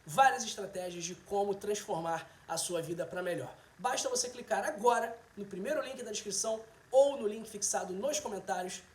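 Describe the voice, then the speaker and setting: High-voiced young Brazilian man. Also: normal speed, hardly any pauses. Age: 30s. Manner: chatty, addresses viewers directly. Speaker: host, health video presenter. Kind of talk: casual livestream chat with viewers. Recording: roomy, echoing sound.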